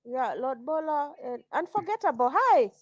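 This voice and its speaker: A woman's voice: high voice, expressive, no room sound. Teasing woman livestream host, dry sound. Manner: teasing, repeats herself in quick restarts. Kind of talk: casual livestream chat.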